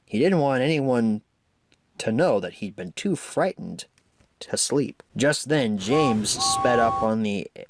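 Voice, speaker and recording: A man narrating a children's story in a measured storytelling manner. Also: mid-range voice, lively intonation, very noisy. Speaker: amateur story reader.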